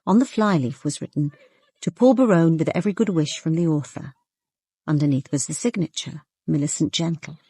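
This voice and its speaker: A woman's voice: deep voice, fairly quick, warm tone, breathy edge, no room sound. An actress playing a composed, observant woman detective who narrates a radio drama in the first person. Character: observant, guarded young woman detective narrating her case.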